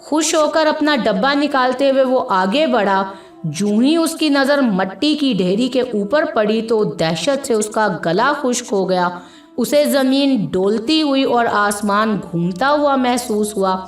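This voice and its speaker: A woman reading a scripted moral story as a narrator, in a measured, dramatic storytelling manner. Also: a little high, expressive. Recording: echoey voice with music behind.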